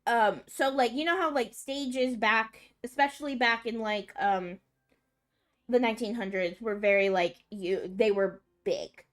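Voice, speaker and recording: A woman's voice: a little high, unhurried. A young woman on a livestream, chatting casually and rambling with many fillers. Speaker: streamer. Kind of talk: casual chat.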